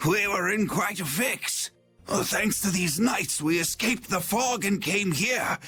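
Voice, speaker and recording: A stiff, stammering knight. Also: male voice, high voice, a little slow, pushed and bright. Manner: stiff, stammering, overly formal. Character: bumbling loyal young male knight. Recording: game music underneath.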